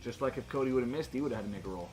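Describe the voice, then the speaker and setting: A man works as game master in a tabletop role-playing session, calling rolls and narrating the action. His voice has an ordinary middle pitch, and music plays clearly behind him. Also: very fast, a little mellow, rough recording.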